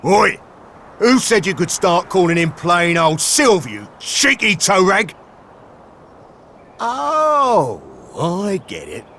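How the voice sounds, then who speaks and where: A man's voice: high voice, huge pitch swings, slow, bright, forceful tone, a little hiss or hum, full character voice. An actor playing a blunt, teasing male companion in a video game, speaking in a brusque, scolding way. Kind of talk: video game cutscene voice acting. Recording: game music behind.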